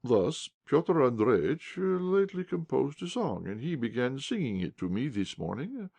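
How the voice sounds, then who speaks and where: A man's voice: medium pitch, big pitch swings, normal speed, creaky, darkish tone, pitched above the performer's own voice. A man voicing an insolent young officer who speaks coolly and with a sneer. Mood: sly. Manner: cool, sneering.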